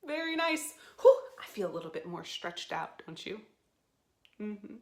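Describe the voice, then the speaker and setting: A woman hosting storytime for young children, speaking in a playful, expressive, simple way as she guides and reads aloud. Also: mid-range voice, a little slow, reverberant.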